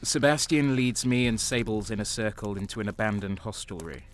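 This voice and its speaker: An actor playing a bitter, sardonic renegade soldier, narrating and speaking in a dark sci-fi audio drama with a brooding, cynical manner. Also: male voice, fairly low pitch, fairly quick, noisy background, lightly acted. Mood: grim narration.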